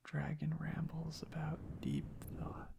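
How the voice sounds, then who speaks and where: A man speaking in a soft, hushed, half-whispered ASMR ramble, breathy and gravelly, in unhurried halting phrases. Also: on the high side, monotone, noisy background. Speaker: ASMR content creator. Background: tapping and clicking sounds.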